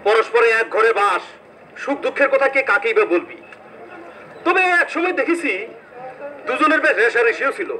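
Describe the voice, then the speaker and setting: A man's voice: extremely high pitch, pushed and bright, a little hiss or hum, heavily acted, low-quality recording. A man playing a talkative village man in an acted story drama, emphatic and colloquial. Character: talkative village man gossiping about two aunts. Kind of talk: acted short-story drama in rural Bengali.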